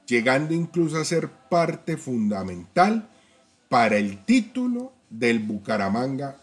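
A man hosting a football fan channel, talking straight to viewers about player stats and often checking in with a quick "¿ya?". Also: medium pitch. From Colombia. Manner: talks to viewers directly, tag questions, stats-heavy. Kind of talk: casual fan-channel commentary.

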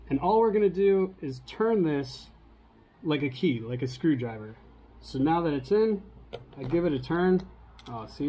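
A man's voice: on the high side, warm tone, low-quality recording. A man in his forties hosting a casual cooking vlog on an outdoor porch, upbeat and laughing often. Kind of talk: casual chat.

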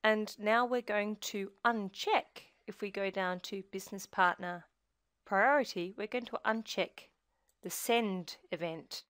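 A woman presenting a software tutorial in scripted screencast narration, giving measured step-by-step instruction. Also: mid-range voice, no room sound, studio quality.

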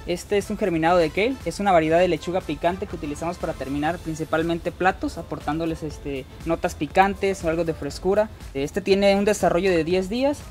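A man's voice: sits high, brisk, hardly any pauses, a little mellow. A young man with a Mexican accent explains food as a working chef. Light music runs behind his voice. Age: young adult. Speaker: restaurant chef.

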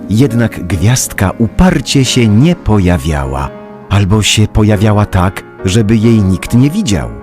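A man narrating a children's story in a dramatic, measured storytelling manner, with music under the voice. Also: fairly low pitch, big pitch swings.

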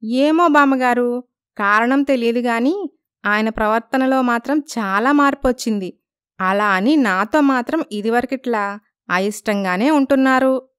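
Woman doing anxious young wife, polite n plaintive, high clear cartoon story voice. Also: measured pace, a bit bright, no room sound, studio quality. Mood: worried.

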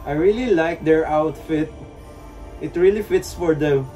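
A man's voice: fairly high pitch, measured pace, warm tone, soft background music, low-quality recording. A man in his thirties with a Filipino accent gives casual, enthusiastic, admiring reaction commentary as a video host. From Philippines. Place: small plain indoor room.

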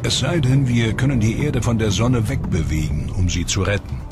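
A man with a very low, deep voice narrates a science documentary in a measured, dramatic way. His reading is scripted and steady. Music plays clearly beneath him. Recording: voice-over with background music.

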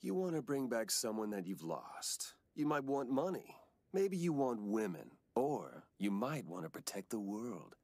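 A cocky young villain who savors his own greed. His voice is husky and animated in a very dry recording. It is smug dubbed anime acting. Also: medium pitch, unhurried, no echo. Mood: smug, persuasive. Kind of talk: English anime dub acting.

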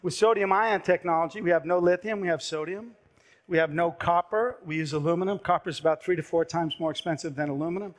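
A man in his fifties giving a brisk, persuasive startup pitch on a large conference stage through a headset mic, his voice going hoarse. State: losing his voice.

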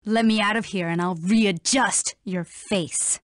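An actress playing a hot-tempered fox police inspector in a video game, defiant and threatening. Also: female voice, medium pitch, fairly even intonation, a little slow, no echo, clean studio sound, close to an everyday voice.

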